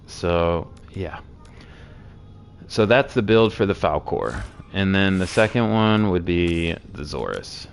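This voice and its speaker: A hoarse man with a sore throat casually walking through game builds, rambling with many fillers. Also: sits low, lively intonation, slow, slight background noise. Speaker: game streamer explaining builds.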